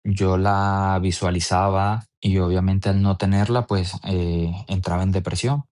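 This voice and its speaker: Young man, Venezuelan accent, low-pitched voice, athlete interviewee, personal storytelling. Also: level tone, unhurried, a little mellow. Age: 20s.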